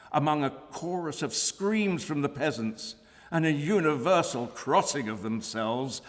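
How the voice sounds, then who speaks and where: A man reading a gothic novel aloud as dramatic literary narration. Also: mid-range voice. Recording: reverberant hall at a live reading.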